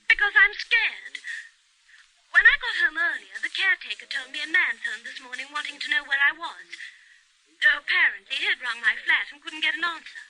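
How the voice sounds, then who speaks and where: A frightened woman from a vintage Australian radio serial, with an Australian accent. Her voice sits high and is piercingly bright. She pleads in a breathless, theatrical way and is quick to defend herself. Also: animated, exaggerated voice, low-quality recording. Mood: anxious, urgent. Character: frightened, melodramatic, defensive woman living alone. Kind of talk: old-time radio drama acting.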